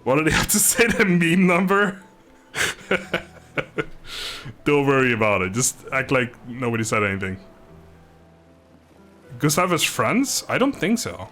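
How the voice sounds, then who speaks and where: A man's voice: medium pitch, sing-song, measured pace, long pauses, sharp tone, soft background music. A man casually commentating a video game on a live stream, chatting with viewers in a rambling, good-humoured way.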